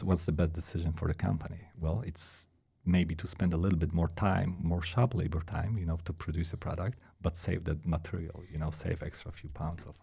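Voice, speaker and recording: Low gravelly man with a Polish accent. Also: mellow. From Poland. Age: 40s. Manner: hesitant, repeats words, says "you know" and "hey" often. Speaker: guest, director of engineering. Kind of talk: casual interview talk.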